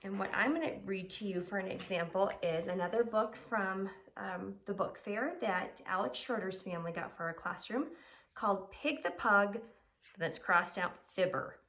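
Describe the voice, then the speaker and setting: A woman's voice: medium pitch, moderate pace, fairly bright tone. A woman elementary teacher explaining simply to children and reading aloud, with emphasis and rhetorical questions. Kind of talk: children's lesson and expressive storybook read-aloud.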